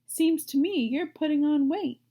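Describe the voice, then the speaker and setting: A woman's voice: high-pitched, unhurried, dark tone, voice pushed up. A woman voicing a gloomy, snappish old female sheep in a storybook, emphatic and matter-of-fact. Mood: knowing.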